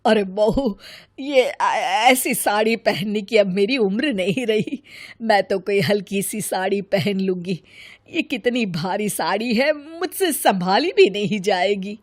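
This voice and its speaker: A woman's voice: mid-range voice, animated, slow, no echo, clean studio sound. Woman acting a grumbling elderly mother-in-law. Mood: protesting. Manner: complaining, protesting.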